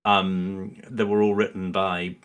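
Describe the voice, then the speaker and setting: A man's voice: deep voice, level tone, slow, a bit bright, smooth. A man as a podcast guest, explaining his opinions in a rambling, conversational way with plenty of hedging. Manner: rambling, hedging, acts out imagined quotes. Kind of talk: casual chat, explaining opinions.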